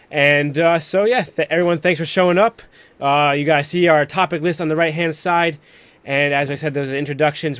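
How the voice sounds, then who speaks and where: A man's voice: a little high, a bit bright, clear, resonant tone, no room sound. A man hosting a casual live stream chat, talking in a rambling, filler-heavy way with lots of "like". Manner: rambling, peppered with "like". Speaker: host of a live stream panel.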